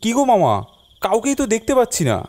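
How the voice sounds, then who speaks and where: A man voicing a curious young nephew who narrates a cartoon adventure with expressive wonder. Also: very high-pitched, quick pace. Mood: curious, uneasy. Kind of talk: animated cartoon storytelling.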